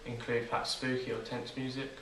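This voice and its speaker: An eighteen-year-old man answering interview questions in a measured, tentative way. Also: mid-range voice, flat delivery, fairly bright tone. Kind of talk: casual answers. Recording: echoey room, far from mic.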